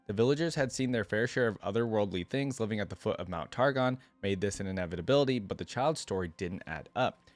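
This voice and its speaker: A man's voice: lowish voice, animated, quick pace. A man hosting a reaction video, casually thinking aloud and reading a story as he goes. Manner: talks to himself, thinking aloud with casual slang.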